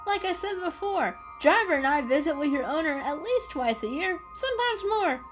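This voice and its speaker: A woman's voice: very high-pitched, lively intonation, normal speed, over music, pitched above the performer's own voice. A woman voicing a friendly, reassuring grown male cat who chats and explains kindly in a storybook reading. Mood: cheerful, helpful.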